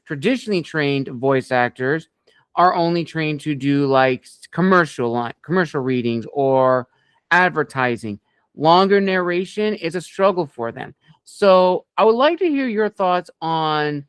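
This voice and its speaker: Smooth, higher-voiced man hosting an interview. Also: no echo. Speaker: podcast interview host. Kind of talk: conversational interviewing.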